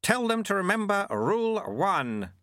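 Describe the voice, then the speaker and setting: Man playing a high-pitched, wry little old monk. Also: very animated, a little slow, a bit bright, dry recording, clean studio sound, heavily acted. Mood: dry politeness. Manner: wry, folksy, mock-instructive. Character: small elderly monk master, wry, unflappable, cheeky.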